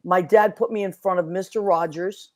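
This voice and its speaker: A woman's voice: fairly low pitch, steady tone, moderate pace, darkish tone. Bubbly New woman hosting. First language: Italian. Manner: bubbly, effusive. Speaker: host. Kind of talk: casual interview chat.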